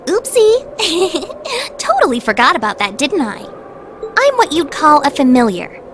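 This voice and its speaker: A woman voicing a sassy female cat familiar in a video game, glib, expressive and quick to take offense. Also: sits high, a little hiss or hum, full character voice. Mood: breezy, matter-of-fact.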